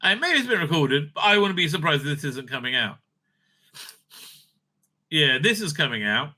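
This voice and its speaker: A man giving unscripted, profane, opinionated commentary as a video host, stammering and repeating himself in an excitable way. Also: fairly high pitch, normal speed.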